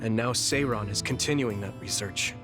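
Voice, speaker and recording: A man's voice: lowish voice, normal speed, natural voice. A man voicing an earnest, loyal young male soldier who speaks sincerely and reassuringly. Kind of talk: game cutscene dialogue. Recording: game audio with music behind.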